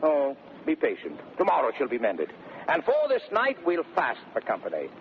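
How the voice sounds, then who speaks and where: An actor playing a domineering, blustering Shakespearean husband in 1930s radio style, declaiming theatrically between bellows and smooth mock-sweetness. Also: male voice, medium pitch, huge pitch swings, moderate pace. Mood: smug scheming. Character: domineering, blustering Shakespearean husband bullying his servants and bride. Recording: noisy, muffled 1930s radio recording.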